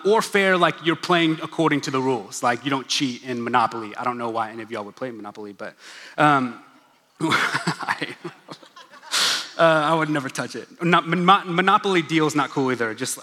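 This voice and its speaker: A young man preaches a casual sermon, full of jokes and asides to the crowd. His voice sits fairly high and sounds bright and forward. A long hall reverb trails after every line. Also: moderate pace. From Oklahoma, USA. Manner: chatty, joking, asides to the crowd. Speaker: pastor. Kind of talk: casual sermon in a church gathering. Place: large church hall.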